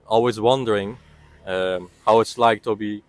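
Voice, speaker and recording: A young man from the Netherlands answers a street interviewer in a lowish voice. He hesitates often and fills gaps with "uh" and "like." The sound is dry and close. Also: fairly even intonation, moderate pace, no room sound. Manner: hesitant, many fillers. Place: outdoors on a street.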